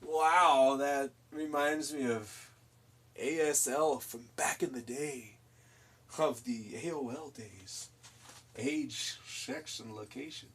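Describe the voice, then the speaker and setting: A man's voice: mid-range voice, a bit bright, husky. A man in his twenties hosting a live stream, casually chatting and giggling as he reads out messages. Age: 20s. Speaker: livestream host. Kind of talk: casual chat with chat while painting.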